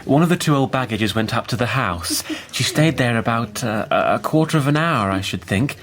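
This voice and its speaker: A man's voice: mid-range voice, lively intonation, moderate pace, a bit bright, lightly acted. A man playing a blunt Victorian father. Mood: earnest, gruff. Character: blunt, protective middle-aged Victorian father. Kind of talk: radio drama acting.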